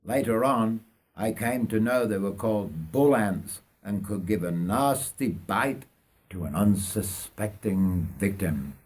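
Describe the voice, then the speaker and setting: An older man from North Yorkshire, England, reading his own life story aloud in a deliberate, dramatic way. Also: fairly low pitch, expressive, a little mellow, slightly rough. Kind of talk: autobiographical story narration.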